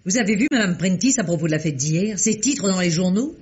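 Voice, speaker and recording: A man's voice: high-pitched, expressive, fairly quick. An actor playing a chatty, teasing society man in an old radio drama. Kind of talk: 1950s French radio drama acting. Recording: muffled old radio recording.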